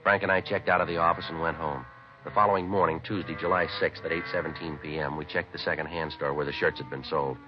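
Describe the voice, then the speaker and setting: An actor playing a terse, businesslike American homicide detective, deadpan and matter-of-fact, in a 1950s radio police drama. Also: male voice, rumbling low, quick pace, lo-fi sound. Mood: deadpan narration. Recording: vintage radio recording, band-limited, music behind.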